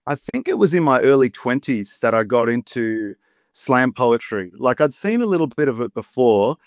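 A man's voice: medium pitch, sing-song, moderate pace, no room sound, studio quality. A man in his thirties with an Australian accent, a poet and rapper telling stories as an interview guest, chatty with many asides. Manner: warm storyteller, chatty with many "you know" asides. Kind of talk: relaxed reflective interview talk.

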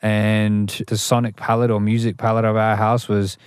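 A man's voice: fairly low pitch, completely flat delivery, normal speed, clear, resonant tone, dry recording, clean studio sound. A young adult man, a rapper talking through his upbringing as an interview guest, thoughtful and full of restarts. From Australia. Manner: thoughtful, frequent restarts and "you know". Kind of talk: interview, reflective storytelling.

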